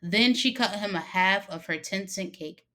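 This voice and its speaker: A woman narrating a short story expressively, with dramatic pauses and emphasis. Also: mid-range voice, pushed and bright. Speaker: story reader.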